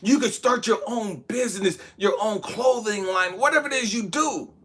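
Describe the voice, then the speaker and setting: A man giving a blunt, profane motivational talk, shouting one moment and dropping to hushed asides the next. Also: sits high, moderate pace. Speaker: motivational speaker. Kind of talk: impassioned motivational monologue.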